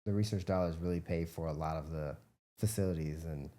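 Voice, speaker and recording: Low, mellow-voiced American man, informal and rambling. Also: fairly quick. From United States, Midwest. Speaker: interview guest, biomedical researcher and entrepreneur. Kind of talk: casual conversational interview answers.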